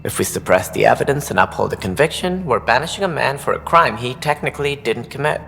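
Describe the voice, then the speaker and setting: An actor playing an earnest male colony director in a video game, speaking deliberately about hard decisions. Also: mid-range voice, lively intonation, gravelly, sharp tone, in a large hall, faint music behind.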